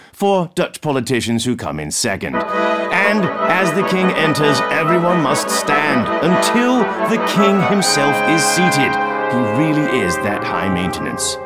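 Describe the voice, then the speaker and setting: American man, animated mock-grand narrator. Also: on the high side. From United States. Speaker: comedian narrator. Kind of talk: mock nature-documentary scripted narration.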